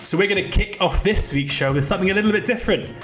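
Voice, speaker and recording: A man's voice: sits high, fairly bright tone, faint music behind. Man hosting a podcast. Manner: brisk scripted read, playful. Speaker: podcast host. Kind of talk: podcast intro and chat.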